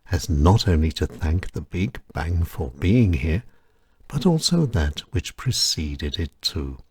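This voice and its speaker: Deep, slow, husky-voiced male narrator. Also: warm tone, no echo. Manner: measured, solemn, reflective delivery. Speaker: host and writer reading his own essay. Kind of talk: scripted reflective narration.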